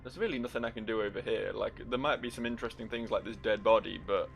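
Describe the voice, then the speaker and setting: A man's voice: lowish voice, fast, gravelly, faint music behind. A man giving casual, joking let's play commentary, rambling and teasing as he talks to the game's character. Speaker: gaming video host.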